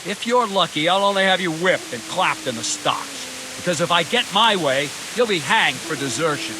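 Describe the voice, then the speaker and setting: Casting: man playing domineering military veteran for a game, higher-pitched and wildly varied delivery, sarcastic bark. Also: lively intonation, normal speed, noisy background, close to an everyday voice. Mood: furious. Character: gruff bullying veteran castle captain berating a subordinate. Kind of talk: video game cutscene acting.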